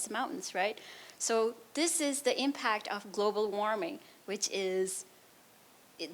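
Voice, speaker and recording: A woman's voice: fairly high pitch, a bit bright. A woman from Nepal, a researcher, giving a public science talk and answering audience questions in an earnest, explanatory way. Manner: earnest, explanatory, frequent restarts. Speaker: immunology researcher.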